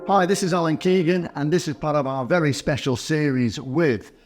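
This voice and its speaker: A man's voice: on the high side, moderate pace, soft background music. A man hosting a podcast interview, asking encouraging questions in an upbeat way. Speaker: podcast host.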